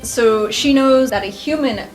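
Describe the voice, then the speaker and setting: Woman gaming over clear background music. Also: fairly high pitch, unhurried, rough recording. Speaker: player in a tabletop role-playing game. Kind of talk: casual game chat.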